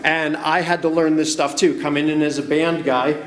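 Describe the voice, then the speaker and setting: Male presenter, fairly high voice, relaxed chatty delivery, echoey conference room. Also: normal speed. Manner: chatty, keeps asking "right?". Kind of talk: casual conference talk. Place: conference room with noise next door. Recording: roomy hall recording.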